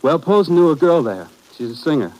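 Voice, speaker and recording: A man's voice: fairly high pitch, very animated, a little fast, a little mellow, rough recording. An actor playing a young American army sergeant in an old radio drama, informal and a little hesitant in his answers. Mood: uneasy, candid. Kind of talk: 1950s radio drama acting.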